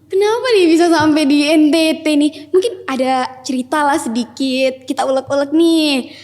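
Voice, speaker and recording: A young woman hosting a podcast interview, chatty and lively, often addressing her viewers directly. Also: high voice, normal speed, big-room sound. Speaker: podcast host.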